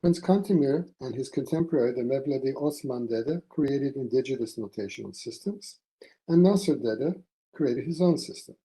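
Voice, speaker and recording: A man delivering a formal academic conference paper from a prepared text, in measured, deliberate phrases. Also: mid-range voice, dark tone, low-quality recording. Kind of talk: formal scholarly lecture read from a prepared text.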